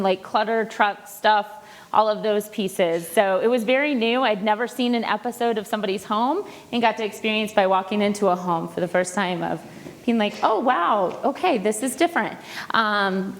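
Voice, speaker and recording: A woman counselor giving a casual lecture to a live audience, with a loud voice, chatty and full of fillers. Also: a little high, big-room sound. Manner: chatty, with many fillers and restarts. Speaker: presenter and counselor. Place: large conference room.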